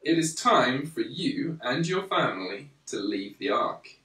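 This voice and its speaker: A man reading a children's story aloud in a measured, deliberate way, pausing between phrases. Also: medium pitch, big pitch swings, sharp tone. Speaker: storyteller reading a Bible picture book to a young child. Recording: roomy, echoey, slightly distant microphone.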